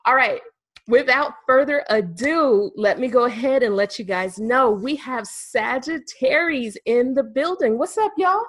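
A woman's voice: high voice, measured pace. A woman hosting a podcast in a casual interview, upbeat and chatty, laughing often. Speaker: podcast host.

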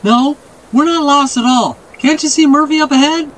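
A man acts out an earnest young boy from a storybook, his voice lifted high and strained. He pleads with devotion, over clearly audible background noise. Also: normal speed, fairly bright tone. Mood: excited, urgent. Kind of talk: dramatic audiobook character reading.

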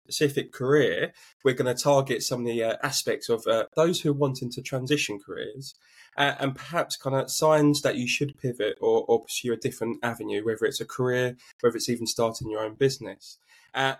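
A man hosting a conversational interview podcast, feeling his way through long, rambling sentences. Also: medium pitch. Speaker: podcast host.

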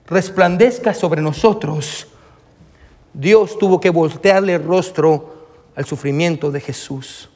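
A male pastor delivers an impassioned sermon in a very high-pitched voice. He is emphatic, repeats key phrases and speaks straight to his listeners. His words ring with the long reverb of a hall. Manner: emphatic, repetitive, addresses listeners directly. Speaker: pastor preaching to a congregation. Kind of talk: impassioned sermon with scripture readings.

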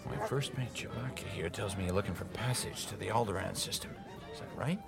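A man's voice: fairly low pitch, brisk, gravelly. A man playing a cocky, roguish smuggler captain in a radio drama, brash and casual. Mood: breezy. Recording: music behind the voice.

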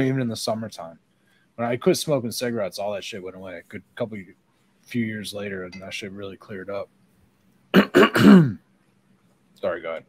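A middle-aged Midwestern man with a nasal, congested voice, chatting casually, swearing and clearing his throat. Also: fairly low pitch, big pitch swings, moderate pace, pauses often, darkish tone. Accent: Midwestern American. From American Midwest. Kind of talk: casual chat.

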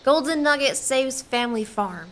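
Casting: chatty townswoman for family radio drama, played by an actress, upbeat, very high and bright. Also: expressive, normal speed. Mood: pleased. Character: chatty, upbeat small-town woman. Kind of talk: radio play acting.

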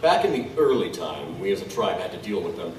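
A knowledgeable, wry tribesman, played by a man in an audio drama. His voice sits fairly low, with a measured, musing storyteller's delivery. The recording is echoey and slightly distant. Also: big pitch swings, darkish tone. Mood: advising. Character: wry, knowledgeable Chinook tribesman, old friend and lore-teller. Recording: roomy, distant, faint background noise.

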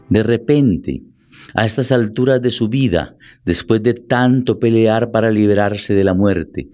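Male storyteller for a radio drama, medium pitch, scripted dramatic delivery, soft music underneath. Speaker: narrator.

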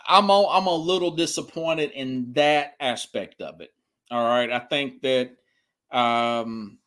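A man's voice: mid-range voice, pushed and bright. Rambling man hosting a casual sports talk. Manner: rambling, hesitant, searching through notes, repeats himself for emphasis. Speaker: sports podcast host. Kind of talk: casual solo sports commentary, reading and reacting to news.

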